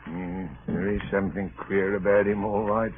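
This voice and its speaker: An actor playing a superstitious, grumbling ship's officer with rough, ungrammatical working-class speech, in 1930s radio style. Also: male voice, low-pitched, steady tone, moderate pace, lightly acted. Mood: grumbling, suspicious. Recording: tinny, hissy 1930s radio recording.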